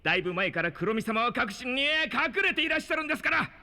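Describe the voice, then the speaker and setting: Shrill, excitable exam official. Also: male voice, fast talker, vocal fry, full character voice. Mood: grandly announcing. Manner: theatrical, exaggerated, announcing. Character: excitable, high-voiced exam official addressing candidates. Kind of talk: animated voice drama acting. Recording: long hall reverb with music behind.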